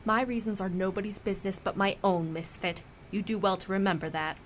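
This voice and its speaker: An actress playing a proud, prickly superheroine with curt, contemptuous delivery in an audio drama. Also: female voice, mid-range voice, fairly even intonation, fairly quick, a little hiss or hum, lightly acted. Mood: scornful. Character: proud, prickly, scornful female mutant superhero.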